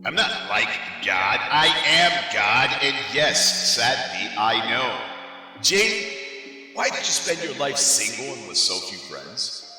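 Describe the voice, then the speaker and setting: An actor playing a breezy, folksy God, grand yet casual and jokey, in a comic audio play. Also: male voice, medium pitch, very animated, takes it slowly, sharp tone, exaggerated voice. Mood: emphatic, regretful. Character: God, breezy, folksy, jovial older male deity. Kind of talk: comic audio drama acting. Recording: echoing hall reverb, music underneath.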